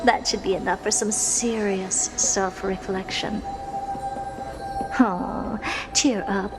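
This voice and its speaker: A woman's voice: medium pitch, fairly even intonation, slow pace, close to an everyday voice, rough recording. A woman voicing a haughty, playfully cruel female genius scientist in a video game, with a smug, condescending and theatrical delivery. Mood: mockingly playful. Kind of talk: dramatic video game voice acting. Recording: game music behind the voice. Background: game music.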